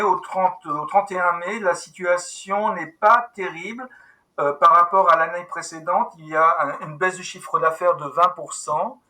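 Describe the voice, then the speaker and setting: A man runs a video-call meeting with a very bright, ringing tone. He speaks a little high and keeps going in a brisk, businesslike way, stumbling and restarting as he goes. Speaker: meeting host, company manager. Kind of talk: online team meeting.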